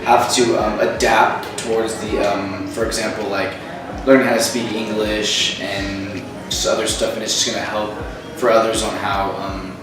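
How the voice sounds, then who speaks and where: A man answering interview questions casually and haltingly, searching for words. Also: on the low side, level tone. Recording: roomy, distant mic, loud music bed.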